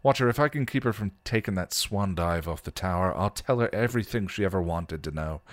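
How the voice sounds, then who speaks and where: A sarcastic, world-weary punk vampire, full of slang, as a man reading fan fiction does the voice. The voice sits very low and sounds dry and close. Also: expressive, brisk, dry recording. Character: sardonic grieving male punk vampire.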